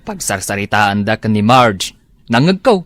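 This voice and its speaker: Radio drama: man as a neighborly compadre, mid pitch, lively, dry sound. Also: measured pace, dry recording.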